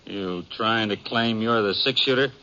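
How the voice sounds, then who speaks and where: Young western bounty seeker: eager, shifty, folksy and hesitant, on a dull old radio recording. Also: male voice, lowish voice, measured pace, bright, forceful tone. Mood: suspicious. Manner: hesitant, folksy rural talk. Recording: muffled vintage radio recording.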